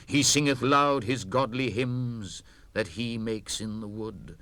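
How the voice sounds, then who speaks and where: An actor with a British accent playing a haunted old mariner, reciting verse with measured intensity. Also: male voice, medium pitch, no room sound. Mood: impassioned relief. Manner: intense, measured, swinging from hushed to impassioned. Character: haunted old mariner, fearful and awestruck, recounting his voyage. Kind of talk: dramatic poetry recitation, 1960s spoken-word record.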